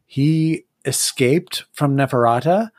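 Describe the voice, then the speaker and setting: A game master narrating a tabletop role-playing game in a descriptive way, pausing to search for words. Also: medium pitch, a little mellow, dry recording, studio quality.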